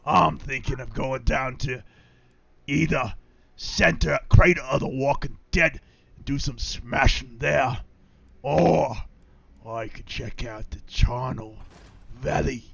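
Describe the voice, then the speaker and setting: A man role-playing a boastful, brutish male orc warlord on a game stream, with a rough, gravelly throat and rowdy, crude bellowing. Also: medium pitch, slow pace, fairly bright tone, lo-fi sound, full character voice.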